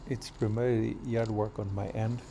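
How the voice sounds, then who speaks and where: A middle-aged man from Kenya chatting casually while doing yard work outdoors, with relaxed pauses and fillers. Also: on the low side, vocal fry, slight background noise. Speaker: vlogger.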